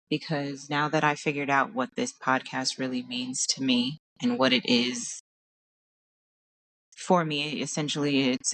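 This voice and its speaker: Woman, solo podcast host, low voice, hoarse, tired, rambling, searches for words. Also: fairly bright tone, low-quality recording. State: hoarse from crying. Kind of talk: casual solo podcast talk. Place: at home.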